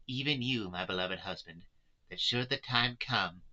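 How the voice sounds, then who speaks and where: A man's voice: lowish voice, moderate pace, bright, forceful tone, lo-fi sound. A man voicing an anguished but resolute wife in a novel, pleading earnestly and solemnly with her friends. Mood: grave, insistent.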